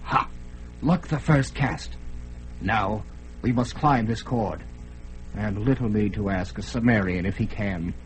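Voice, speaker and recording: An actor playing a cunning, boastful master thief in a vintage radio drama, chuckling and giving orders. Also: male voice, lowish voice, unhurried, pauses often, a little hiss or hum, lightly acted, poor audio. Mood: urgent. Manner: chuckling, scheming, commanding.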